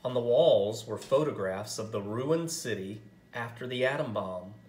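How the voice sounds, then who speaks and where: A man narrating a picture book aloud as a storyteller, with expressive, dramatic and deliberate phrasing. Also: medium pitch, echoey room, slight background noise.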